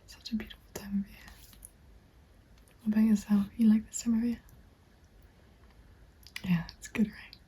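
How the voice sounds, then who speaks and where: A woman whispers a gentle, chatty ASMR roleplay in a reassuring, breathy voice, close to the microphone. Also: mid-range voice, fairly even intonation, moderate pace, long pauses. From England. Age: 20s. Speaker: ASMR creator playing a recruitment agent. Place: small dim room at home. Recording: constant background noise with keyboard typing. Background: keyboard typing and clicks.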